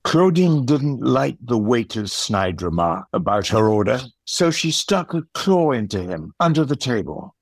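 Man as a husky, unhurried story narrator. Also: medium pitch, big pitch swings, no echo. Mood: measured.

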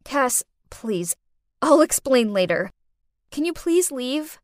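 An exasperated young woman acted out by a female narrator in a melodramatic story. Her high voice leaps around with indignation, recorded close and dry. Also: sing-song, slow pace, no echo, full character voice. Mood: panicked.

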